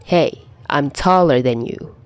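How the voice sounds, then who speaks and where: A woman's voice: low-pitched, slow pace, no echo. A woman voicing a wry middle-aged man, an exasperated but fond father figure, with dry teasing in an audiobook story.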